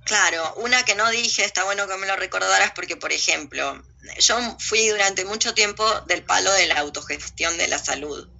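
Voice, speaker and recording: A woman with an Argentine accent speaks in a very sharp, forceful voice with plenty of gravelly fry. She argues bluntly and emphatically in loose interview talk, peppered with coarse slang. The audio is dull and lo-fi. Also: mid-range voice, moderate pace. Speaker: interview guest. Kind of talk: opinionated, informal interview talk.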